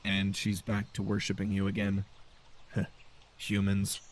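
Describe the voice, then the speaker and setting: A man's voice: on the low side, completely flat delivery, measured pace, no room sound. A man voicing a wry, formal male AI companion in a sci-fi audiobook, with a dry and polite manner. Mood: wry, amused.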